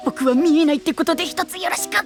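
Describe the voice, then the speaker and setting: A woman's voice: high-pitched, quick pace, over music, close to an everyday voice. A woman playing a small, shy, childlike knight with a cute manner in an anime-style voice drama. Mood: timid, curious. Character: small, shy, childlike knight who hides behind others.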